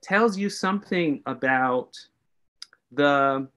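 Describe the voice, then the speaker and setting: Middle-aged guy from the us, talks slow and careful, restarts his sentences a lot. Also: fairly high pitch, animated, fairly bright tone. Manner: measured, deliberate, restarts phrases. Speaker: guest historian. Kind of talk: thoughtful interview answers.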